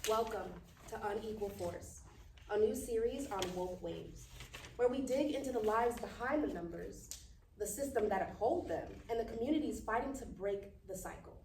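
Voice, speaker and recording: An actress playing a determined young activist podcast host, speaking earnestly and deliberately in a live table read. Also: female voice, medium pitch, darkish tone, close to an everyday voice. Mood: earnest, resolute. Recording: echoey room, distant mic, faint noise.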